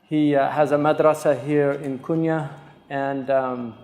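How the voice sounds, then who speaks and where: A man with a slightly raised, gravelly voice hosts a lecture, introducing a guest scholar. He talks off the cuff, pausing often and leaning on fillers. The sound carries the long echo of a large hall. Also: on the high side, little pitch movement, a little mellow. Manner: halting, many pauses and fillers. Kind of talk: unscripted introductory talk at a lecture. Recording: echoey hall, distant mic.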